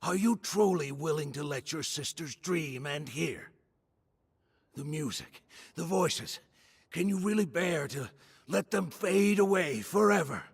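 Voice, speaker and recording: An actor playing an aged, guilt-ridden male troupe leader in a game cutscene, speaking slowly and solemnly, with music behind him. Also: a little high, lively intonation, gravelly, breathy edge, dry recording, exaggerated voice. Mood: weary. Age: older. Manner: slow, brooding and solemn.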